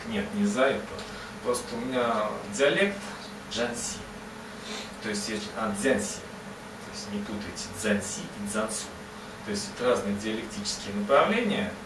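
A man's voice: fairly low pitch, normal speed. A man telling a comic story to an audience in a chatty, digressive and self-mocking way. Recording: roomy, distant mic, ambient noise.

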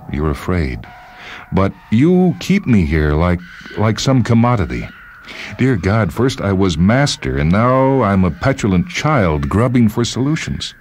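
A man's voice: lowish voice, a little mellow, slight background noise, pitched above the performer's own voice. A man voicing a sorrowful, accusing conjured man in a short-story reading, with a dramatic, wounded delivery.

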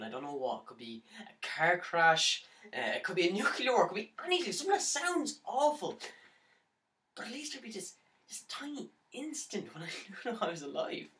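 Recorded at some distance from the mic, a man acts out a lovesick young man coming apart in a stage monologue. His delivery is halting and stammering, with big swings in pitch. The tone is bright and tense, full of manic despair. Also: sing-song, a little hiss or hum, exaggerated voice. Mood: anguished, pleading. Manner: halting, stammering, nervous laughs breaking into anguished outbursts. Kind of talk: dramatic monologue for an audition tape. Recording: roomy pickup, distant from the mic.

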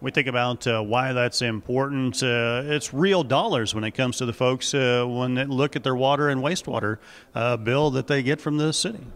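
Middle-aged radio interviewer. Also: male voice, mid-range voice, normal speed, fairly bright tone. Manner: friendly broadcaster, leading questions with "uh" fillers. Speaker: farm radio director and interviewer. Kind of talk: broadcast interview. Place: indoor office. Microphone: handheld station mic.

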